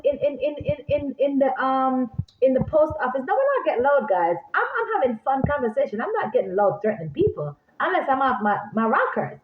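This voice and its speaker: Jamaican woman vlogger: rambling, animated, high-pitched, recorded in an echoey room. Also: a little hiss or hum. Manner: animated, repeats herself, talks straight to viewers. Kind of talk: casual rambling monologue to viewers. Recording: echoey room, phone-like sound.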